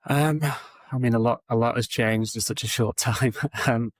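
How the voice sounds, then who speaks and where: A man, a recruitment founder answering interview questions over a video call in a relaxed, steady way with frequent ums. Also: mid-range voice, normal speed, dry recording, studio quality. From England.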